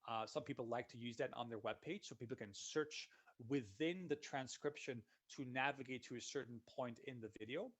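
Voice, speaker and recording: A man, a solutions consultant giving a relaxed, conversational walkthrough of software in a live webinar. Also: mid-range voice, fairly quick. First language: Dutch. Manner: relaxed, conversational walkthrough narrating each click.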